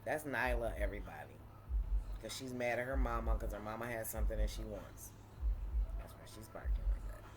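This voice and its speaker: A woman with a deep voice chatting casually and playfully in a wine tasting vlog, repeating phrases for emphasis. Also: fairly quick, background noise. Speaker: vlogger reviewing wine.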